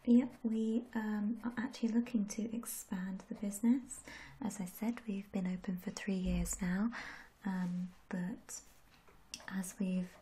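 Slow, soft-spoken young woman doing ASMR. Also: mid-range voice, level tone, rough recording. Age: young adult. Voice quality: soft, breathy, often whispery. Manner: gentle, unhurried, polite reassurance. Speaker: ASMR roleplayer as a tattoo artist. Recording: audible background noise and hiss.

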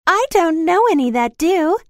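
A man voicing Alice, a curious, polite young girl, in a high falsetto for a storybook reading. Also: lively intonation, normal speed, no room sound, studio quality. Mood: surprised. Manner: falsetto, earnest and insistent.

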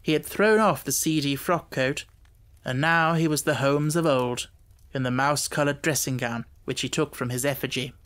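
A man's voice: mid-range voice, moderate pace, a bit bright. A man reading classic literature as an audiobook narrator, in scripted narration.

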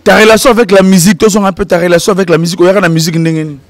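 A Congolese man hosting an interview in an outdoor courtyard, speaking quickly in a high voice. His handheld mic sounds close and dry, with little room around it. He keeps the talk lively and playful. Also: no echo. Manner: animated, laughing, breaks into sung bits. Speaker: host. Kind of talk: casual interview, mixing French and Lingala.

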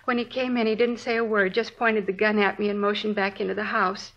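A woman's voice: medium pitch, flat delivery, fairly quick, a bit bright. A middle-aged American housewife in an old radio police drama. Her mid-range voice is plain-spoken and aggrieved, with short phrases, on a slightly muffled vintage recording. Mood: recalling. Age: 40s. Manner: plain-spoken, dropping sentence openings. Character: shaken, indignant forty-two-year-old housewife, crime victim. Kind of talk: 1950s radio drama acting.

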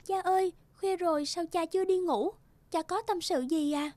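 A woman voicing an innocent, loving young daughter in a cartoon fairy tale, gentle and respectful. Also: very high voice, fairly even intonation, normal speed, dry recording, pitched above the performer's own voice. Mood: tender concern. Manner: soft, artless, respectful.

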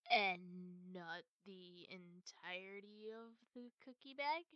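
Woman to play cutesy, snack-greedy girlfriend for ASMR audio roleplay; coy and stammering, slow pace, very dry close sound. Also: lowish voice, pushed and bright, clear, resonant tone, no echo, muffled recording. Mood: sheepish, evasive. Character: cute playful cookie-greedy girlfriend, childishly possessive.

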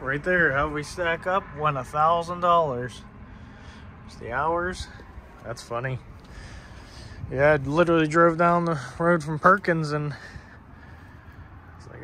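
A man's voice: a little high, normal speed, long pauses, bright, forceful tone. A man giving a casual, off-the-cuff walkaround narration as a vlogger, talking to viewers in a folksy, conversational way while outdoors. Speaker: vlogger exploring abandoned places. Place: outdoors in a parking lot. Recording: outdoor handheld recording with clear traffic noise. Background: street and vehicle ambience.

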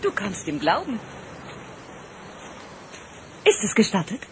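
An actress playing a confident, ambitious newspaper reporter in a radio play, persuasive in manner. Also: female voice, medium pitch, huge pitch swings, slow pace, noisy background. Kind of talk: German radio play dialogue.

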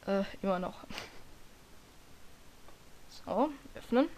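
A woman with a hoarse voice walks through a software tutorial in a loose, chatty way. She rambles, corrects herself mid-sentence and fills gaps with hesitations. Her pitch sits in an ordinary middle range. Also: expressive, slow, slight background noise, low-quality recording. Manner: rambling, self-correcting, lots of fillers. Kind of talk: casual screen-recording tutorial.

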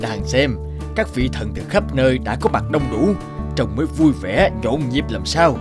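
A man voicing a commanding supreme god in an animated myth, grand and deliberate. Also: mid-range voice, loud music behind the voice, close to an everyday voice. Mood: stately.

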